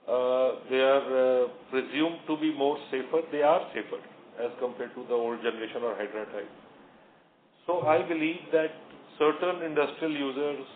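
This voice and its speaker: A man with an Indian accent answering investor questions as a company executive, explaining things in unscripted speech with hesitations and repeated words. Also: mid-range voice. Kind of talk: earnings call answers, unscripted. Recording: roomy, noisy, narrow phone line.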